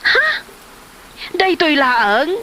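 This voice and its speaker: Woman acting bright, high Ilocano village wife. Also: animated, very slow, a little hiss or hum, heavily acted. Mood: scolding. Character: nagging, exasperated village wife, practical and wary. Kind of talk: Ilocano comedy drama acting.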